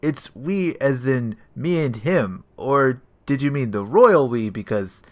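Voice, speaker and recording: A man's voice: medium pitch, expressive, slow pace. A man playing a dim, earnest police detective in a comedic audio play, befuddled and literal-minded with a whiny manner. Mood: befuddled, defensive. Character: dim, easily confused male police detective, earnest and bumbling.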